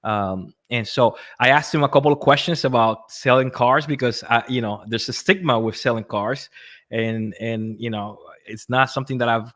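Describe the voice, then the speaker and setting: A man's voice: medium pitch, fairly even intonation, moderate pace, fairly bright tone. A man from Puerto Rico talking casually as a podcast guest, telling his own story in a conversational way. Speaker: podcast guest, real estate agent and pastor.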